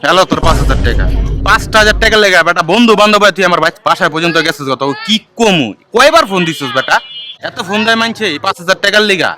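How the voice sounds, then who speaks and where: A man's voice: high voice, animated, very fast. A man with a Bangladeshi Bengali accent, acting a resentful young debtor with colloquial speech in an amateur short drama. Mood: indignant. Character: resentful young male debtor avoiding his friends. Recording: very loud music over dialogue.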